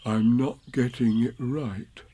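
A man voicing a small, slow-witted, devoted toy bear in a storybook, speaking in a hesitant, wondering way. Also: mid-range voice, steady tone, mellow, slightly rough. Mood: sad, muddled.

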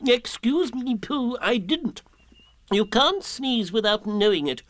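A man voicing a fussy, self-important owl in a children's storybook, speaking in a formal, drawn-out way. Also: very high-pitched, sing-song, a bit bright, no room sound, voice pushed up, exaggerated voice. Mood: pompous, insistent. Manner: pompous, formal, drawn-out delivery.

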